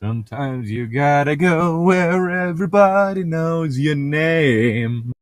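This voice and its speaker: Man, lively swooping intonation, dry close sound, irreverent read-along co-host, casual sweary banter. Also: on the high side, takes it slowly, no room sound. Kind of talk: book read-aloud with casual joking banter.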